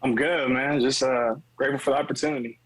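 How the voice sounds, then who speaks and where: A man from Texas with a mid-pitched voice, recorded dry and close. He is a podcast guest who chats in an easygoing, understated way. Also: steady tone, quick pace, no echo, low-quality recording. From Texas, United States. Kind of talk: casual chat.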